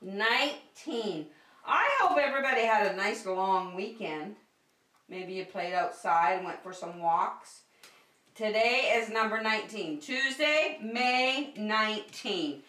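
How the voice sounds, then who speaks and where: A woman teaches little children in a bright, forward voice at an unhurried pace. Her delivery is animated and encouraging, built on short repeated phrases. She is recorded in an echoey room at some distance from the microphone. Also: medium pitch. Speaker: children's circle-time teacher. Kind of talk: preschool lesson addressed to young children. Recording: roomy echo, distant microphone.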